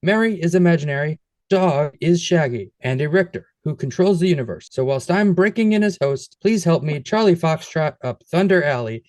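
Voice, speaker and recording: A man hosting a comedy podcast, delivering a rapid string of puns with playful relish. His voice sits a little high for a man. The recording is dry and close, with no room sound. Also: animated, darkish tone, no room sound. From United States. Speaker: podcast co-host. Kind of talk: scripted pun-filled guest introduction, then casual chat.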